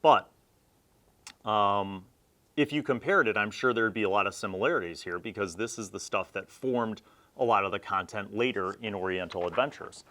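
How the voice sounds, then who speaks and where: A man's voice: lowish voice, moderate pace, sharp tone. A middle-aged man hosting a casual review, chatting informally and thinking aloud with rambling asides. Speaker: host reviewing old gaming magazines.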